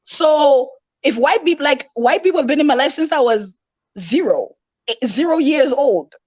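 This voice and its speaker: A woman's voice: high-pitched, expressive, moderate pace, darkish tone, dry recording, low-quality recording. Confident Malawi-raised woman rapper, candid guest chat, dry recording. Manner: candid, self-assured, talks in long flowing runs. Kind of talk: casual interview chat.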